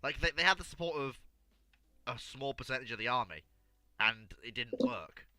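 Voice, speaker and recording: A man's voice: mid-range voice, lively intonation, normal speed, sharp tone, no room sound. A man hosting a casual panel discussion and answering audience questions.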